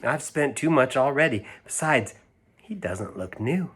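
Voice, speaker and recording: A man voicing a tired, gentle mother refusing her child in a storybook. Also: medium pitch, lively intonation, unhurried, slightly rough. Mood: weary, gentle.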